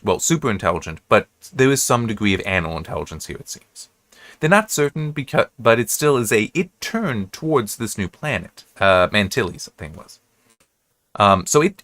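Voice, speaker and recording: An American man giving casual, unscripted commentary as a reviewer, halting and correcting himself as he rambles. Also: fairly low pitch, a bit bright, dry recording. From United States. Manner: halting, self-correcting, restarts and digresses often. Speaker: reviewer hosting an episode-by-episode analysis.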